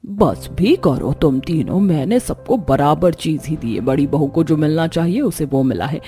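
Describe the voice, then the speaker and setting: A woman's voice: low-pitched, animated, moderate pace, mellow, soft background music. A woman voicing a haughty, domineering rich mother-in-law in a cartoon story, speaking in a stern, imperious way. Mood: stern, irritated. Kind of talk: Hindi cartoon story dialogue.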